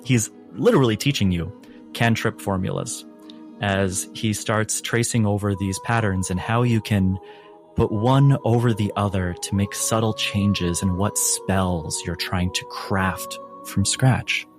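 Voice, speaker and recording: A man narrating a tabletop role-playing game as game master, in a measured way with dramatic pauses. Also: on the low side. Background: faint music.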